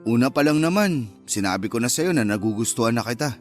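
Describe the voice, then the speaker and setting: A man's voice: mid-range voice, lively intonation, fast, faint music behind, close to an everyday voice. A man playing the wistful, sulky lover of a married woman in a Tagalog radio love drama, casual and understated. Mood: hurt, resigned.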